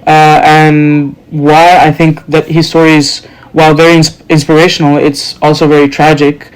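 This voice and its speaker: A young man in his twenties, from Serbia and Norway, a student guest giving thoughtful interview answers, pausing to choose his words. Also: on the high side, little pitch movement, smooth, poor audio. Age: 20s.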